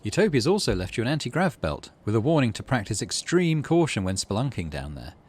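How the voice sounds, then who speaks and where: A man's voice: mid-range voice, very animated, brisk, slightly rough. A man hosting a game playthrough, reading story text aloud expressively and adding casual asides. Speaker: gaming video host.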